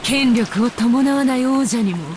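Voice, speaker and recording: A woman's voice: high voice, a little fast, background noise, natural voice. An actress playing a haughty, sadistic demon woman in a Japanese visual novel, imperious and mocking in a theatrical way, with music behind her. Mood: imperious taunting. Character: haughty, sadistic female demon fighter, taunting and commanding.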